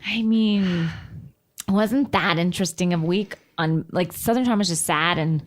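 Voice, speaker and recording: A woman's voice: lowish voice, normal speed. A woman co-hosting a casual chat podcast, blunt and swearing freely. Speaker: podcast co-host.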